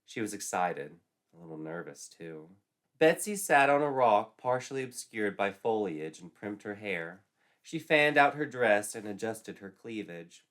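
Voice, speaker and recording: A man's voice: lowish voice, expressive, unhurried, fairly bright tone. A man narrating a scripted comic story in a theatrical, wry, tongue-in-cheek manner.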